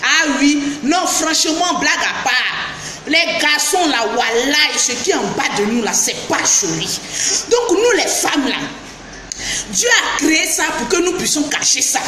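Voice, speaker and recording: A woman with an Ivorian French accent, down with the flu, chatting on a live stream, greeting viewers by name and breaking into shouts. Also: high-pitched, very animated, measured pace. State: has the flu. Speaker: beauty vlogger live-streaming. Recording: echoey room with background noise.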